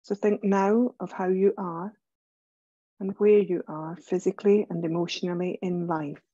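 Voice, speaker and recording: A woman leading a guided relaxation meditation as a yoga teacher, gentle and soothing, speaking in measured phrases with pauses. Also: fairly low pitch, steady tone, no echo, muffled recording.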